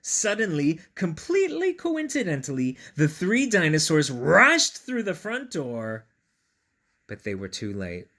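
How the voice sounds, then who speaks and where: A man reads a children's picture book aloud, his pitch swinging freely up and down. He is playful and expressive, stepping out of the text to chat directly with young listeners. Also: measured pace. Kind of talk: animated read-aloud with chatty asides.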